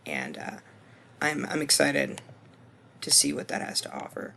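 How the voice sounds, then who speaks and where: A man in his twenties with a hoarse voice, talking through a course screencast reflection in a casual, hesitant way full of ums and restarts. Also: a little high, big pitch swings, unhurried, sharp tone, a little hiss or hum. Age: 20s. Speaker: student.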